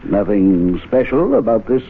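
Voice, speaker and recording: An actor playing a genteel, wry, probing gentlemen's club president in a 1970s radio drama. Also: male voice, lowish voice, very animated, unhurried, breathy edge, heavily acted. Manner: urbane, probing, ornate phrasing. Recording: tinny old radio broadcast.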